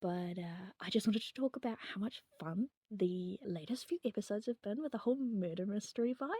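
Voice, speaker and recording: A woman's voice: mid-range voice, a little fast, dark tone, slightly rough, dry recording, studio quality. A woman leaving a casual voice message, chatting in a self-deprecating way. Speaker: listener sending a voice message. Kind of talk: casual chat.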